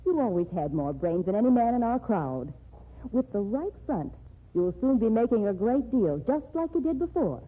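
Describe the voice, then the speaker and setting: A brisk, insistent woman writer speaks in a somewhat high, husky voice. The sound is thin and tinny, like an old radio broadcast. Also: very animated. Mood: persuasive. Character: determined woman writer, devoted schemer. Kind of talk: 1940s radio drama acting.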